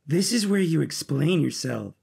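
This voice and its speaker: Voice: mid-range voice, animated, moderate pace, creaky, a little mellow, slightly rough, heavily acted. A man voicing a tough, determined young woman rebel leader in an audio drama, terse and resolute. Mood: stern concern.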